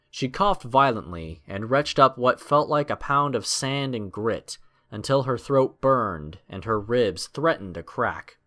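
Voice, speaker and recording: A man's voice: medium pitch, fairly bright tone. A man narrating a scripted adventure story in a dramatic storytelling style.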